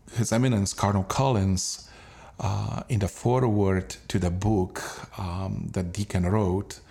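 A man hosting a parish podcast, speaking haltingly with many fillers. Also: lowish voice, gravelly, mellow. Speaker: parish priest and podcast host. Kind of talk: podcast announcement and interview.

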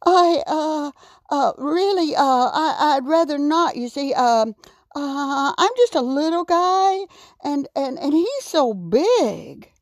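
A woman's voice: high voice, expressive, slow pace, no echo, clean studio sound, voice pushed up, full character voice. A woman voicing a timid little male raccoon in a children's story, nervous, hesitant and stammering. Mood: nervous, reluctant.

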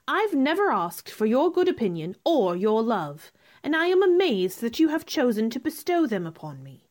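A woman playing a proud, sharp-tongued young gentlewoman in a radio drama, with formal, cool, dramatic delivery. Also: a little high.

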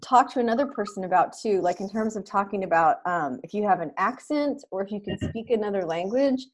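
A woman's voice: medium pitch, expressive, brisk, a little hiss or hum. A woman co-hosting a podcast, eager and emphatic in casual interview chat. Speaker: podcast co-host.

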